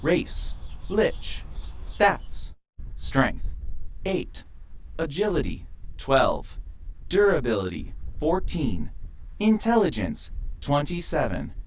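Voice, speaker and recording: A man voicing an impersonal game system in an audio drama, announcing in a formal, deliberate way. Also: a little high, very animated, heavily acted, poor audio. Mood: formal, listing.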